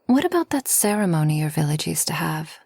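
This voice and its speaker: Actress as kind, solitary forest witch for ASMR roleplay: low and creaky, soft, soothing, slightly formal. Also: very animated, no room sound, full character voice. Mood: wistful, gentle. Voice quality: soft, breathy, often near whisper. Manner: gentle, unhurried, soothing, slightly formal speech. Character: kind, solitary, long-lived forest witch hosting a traveler.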